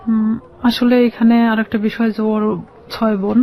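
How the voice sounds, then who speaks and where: A woman with a Bangladeshi accent telling a personal story as a radio guest, halting and repeating phrases as she explains. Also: a little high, flat delivery. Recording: slightly dull, lo-fi radio sound.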